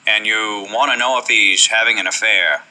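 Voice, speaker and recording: A man playing a world-weary, hard-boiled private detective in a deadpan, brooding noir radio drama style. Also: lowish voice, normal speed, voice pushed down, poor audio. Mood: cool, businesslike.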